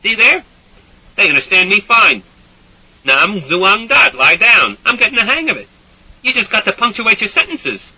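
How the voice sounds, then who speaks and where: A man voicing a volatile, bullying young male soldier who shouts orders in a shrill, husky voice. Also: big pitch swings, normal speed, dull audio, heavily acted. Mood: smug.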